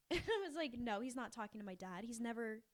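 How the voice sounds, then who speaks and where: A woman's voice: fairly high pitch, animated, fairly quick. A young woman co-hosting a podcast, telling a story casually and acting out the dialogue as she goes. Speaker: podcast co-host.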